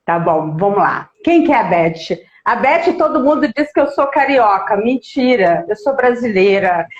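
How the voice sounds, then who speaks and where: A woman's voice: medium pitch, lively intonation, normal speed. A woman from Minas Gerais, Brazil, chatting as a guest on a live stream and greeting viewers by name. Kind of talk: casual live-stream chat. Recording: echoey, dull video-call audio.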